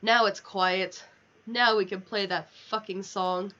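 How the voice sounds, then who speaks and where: A woman's voice: medium pitch, steady tone, slow pace, fairly bright tone, dull audio. A woman chatting casually on a gaming livestream, playfully swearing and talking to the game characters as she plays. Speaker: livestreamer playing a video game.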